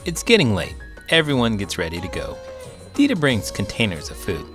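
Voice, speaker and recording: A low-pitched American man reads a children's storybook with theatrical flair. His delivery swings widely in pitch, and clearly audible music plays behind him. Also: normal speed. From Iowa, United States. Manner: animated, theatrical. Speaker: children's book read-aloud storyteller and teacher. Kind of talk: expressive children's storybook narration with commentary.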